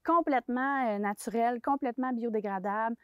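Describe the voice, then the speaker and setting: A woman's voice: on the high side, a little fast, fairly bright tone, clean tone, dry recording. A Québécois woman, an expert on the mushroom sector, explaining it in an interview with frequent fillers and restarts. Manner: hesitant, many fillers and restarts.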